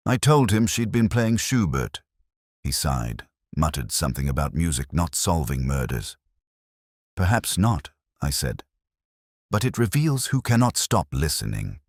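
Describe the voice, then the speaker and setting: Very deep, husky male storyteller. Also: no room sound, studio quality. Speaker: narrator of a detective story. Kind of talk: scripted first-person audiobook narration.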